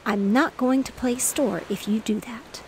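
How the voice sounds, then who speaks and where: A woman's voice: on the high side, unhurried, a little hiss or hum. Woman whispering as an earnest little boy shopkeeper. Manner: soft whispery delivery. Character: small boy playing shopkeeper, earnest and bossy.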